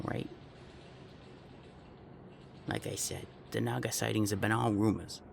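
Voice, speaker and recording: A man playing a brash, blunt, foul-mouthed gang member in an audio roleplay, with a gravelly voice over a noisy backdrop. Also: lowish voice. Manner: casual, blunt, streetwise.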